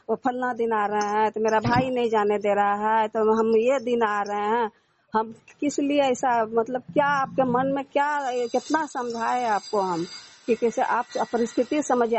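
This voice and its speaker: A middle-aged woman arguing on a phone call, insistent and repeating herself as she pleads. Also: a little high. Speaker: mother confronting her daughter-in-law. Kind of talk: heated phone argument. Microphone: phone line. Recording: muffled, noisy phone call recording.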